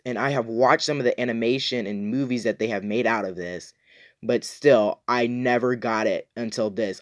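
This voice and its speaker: Guy reviewer chatting to viewers, enthusiastic, lots of opinions, average pitch. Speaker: YouTube reviewer, host. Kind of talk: casual review talk to viewers.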